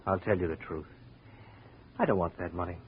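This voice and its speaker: A man's voice: low-pitched, moderate pace, husky. A man playing a sly, prickly, fatalistic barfly in a 1950s radio drama, with a sardonic, world-weary manner. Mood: confiding. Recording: tinny, hissy old radio recording.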